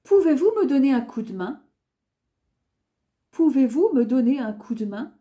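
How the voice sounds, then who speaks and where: A woman teaching a beginner French lesson, saying each useful phrase twice with careful, clear enunciation. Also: high voice, sing-song, unhurried, dark tone. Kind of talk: beginner language lesson, repeating phrases slowly.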